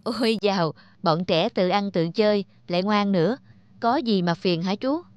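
A woman playing a kindly middle-aged neighbour who minds children in an animated tale, gentle and reassuring. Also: mid-range voice, normal speed, dry recording, highs cut off, close to an everyday voice. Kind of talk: animated moral-tale voice acting. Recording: music under the voice.

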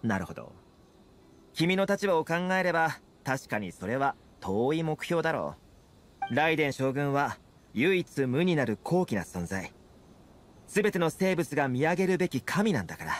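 A man voicing an easygoing, confident young fixer in a Japanese video game, talking smoothly and persuasively. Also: fairly high pitch, big pitch swings, normal speed, soft background music. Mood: composed, persuasive. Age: young adult.

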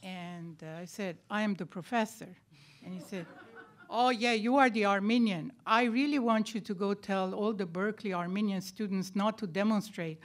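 Older woman, deliberate academic panelist. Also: mid-range voice. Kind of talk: personal account at a panel talk. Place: lecture room, at a panel table. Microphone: microphone on a table stand.

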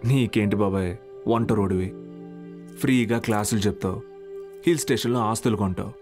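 An actor playing a good-natured young junior teacher in a film, talking casually. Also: male voice, on the low side, moderate pace, music in the background, close to an everyday voice. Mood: teasing.

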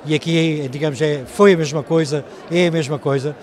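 A man's voice: a little high, steady tone, brisk, darkish tone. An older man with a European Portuguese accent speaking formally but off the cuff as an interviewed official. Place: large exhibition hall. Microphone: handheld interview microphones.

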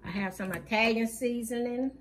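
A woman's voice: fairly high pitch, background noise. A woman, hosting her channel and casually listing her groceries one by one with frequent fillers, recorded at home off camera. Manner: plain, unhurried listing with many fillers. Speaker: channel host. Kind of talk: casual grocery haul narration.

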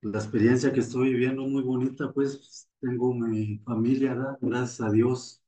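A man's voice: medium pitch, fairly even intonation, normal speed, dark tone, low-quality recording. A man in his forties with a Mexican accent, speaking plainly and earnestly on a video call, sitting back from the camera. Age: 40s. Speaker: resident of a rehab farm. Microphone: video call from far off.

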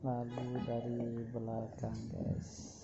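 A man's voice: mid-range voice, monotone, slow pace, gravelly. A man with an Indonesian accent, chatting casually to viewers as he walks through a busy indoor shopping mall. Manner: chatty, addressing viewers as guys. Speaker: vlogger. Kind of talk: casual walking vlog. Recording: lo-fi with loud background music.

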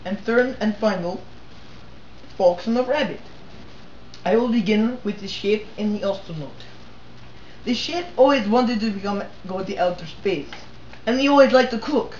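A man voicing a crude storyteller with a put-on Russian accent and broken English, shouting out the lines of his characters. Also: sits high, normal speed, pauses often, a little hiss or hum, close to an everyday voice. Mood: gleeful. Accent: mock Russian. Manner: bursts into shouting for quoted characters. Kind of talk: comedic amateur storytelling. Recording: echoey lo-fi amateur recording.

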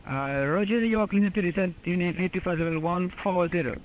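A male air traffic controller talks in a high voice on an archival radio recording. He is formal and procedural, halting at times and repeating phrases, with faint noise on the channel.

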